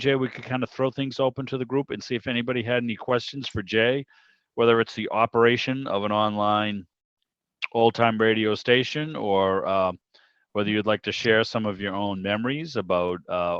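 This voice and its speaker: A man's voice: lowish voice, steady tone, moderate pace, fairly bright tone, smooth, no room sound, dull audio. A middle-aged man hosting an informal online club interview in a conversational, reflective way, over video call audio. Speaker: host of a club meeting.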